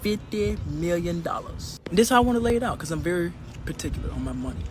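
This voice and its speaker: Male comic ranter, mock-demanding, higher register, clearly noisy everyday recording. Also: animated, fast. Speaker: air traffic controller. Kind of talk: comic rant to camera. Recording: noisy, street or vehicle sounds.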